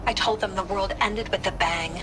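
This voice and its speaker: An actress playing a weary, reflective scientist delivering a literary, brooding monologue for a video game audio log. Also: female voice, mid-range voice, normal speed, background noise, close to an everyday voice. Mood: somber, resigned. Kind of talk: scripted dramatic monologue. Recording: music behind the voice. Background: music.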